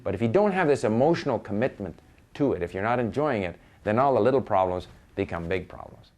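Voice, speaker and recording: Canadian male, informal advice-giver, emphatic delivery with lively swings in pitch, leans on repetition. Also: fast. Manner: emphatic, repeats phrases for stress, quotes imagined speakers conversationally. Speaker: experienced language learner giving advice. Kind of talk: informal, opinionated talk on learning.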